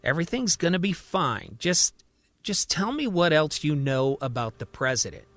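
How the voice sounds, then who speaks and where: A calm female psychiatrist in a radio drama, acted out by a man in his own register. The voice is measured, patient and placating, with a bright tone and a very dry, close sound. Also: on the high side, no room sound. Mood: calm, reassuring.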